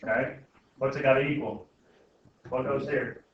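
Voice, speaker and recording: A man's voice: medium pitch, steady tone, moderate pace. A man teaching a class informally, often asking questions and checking that students understand. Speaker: algebra teacher. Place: indoor school classroom. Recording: very echoey noisy classroom, distant muffled mic.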